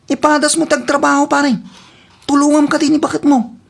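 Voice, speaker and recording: A woman's voice: sits high, little pitch movement, moderate pace, gravelly. A woman playing a nagging, advice-giving acquaintance in a comedy drama, speaking with an Ilocano accent. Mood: insistent advice.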